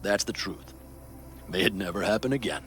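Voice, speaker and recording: An actor playing a stern, solemn nomad clan leader who speaks in a measured, formal way in a video game. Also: male voice, fairly low pitch, fairly bright tone, natural voice. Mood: firm, resolute. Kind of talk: cinematic video game acting.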